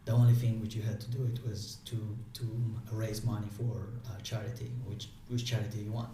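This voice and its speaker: Halting non-native man in an echoey room. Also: fairly low pitch, flat delivery, dark tone. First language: not English. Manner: halting, many restarts and fillers. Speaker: guest. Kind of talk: casual interview answers. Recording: echoey room, distant mic, faint noise.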